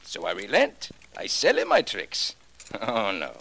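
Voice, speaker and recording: Bitter, swooping old magician. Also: male voice, fairly low pitch, huge pitch swings, measured pace, gravelly, bright, forceful tone, slight background noise, heavily acted. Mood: bitter, indignant. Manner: emphatic, theatrical, rhetorical questions. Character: bitter aging magic-trick maker, a proud former stage magician. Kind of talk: 1940s radio acting. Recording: vintage 1940s radio broadcast recording.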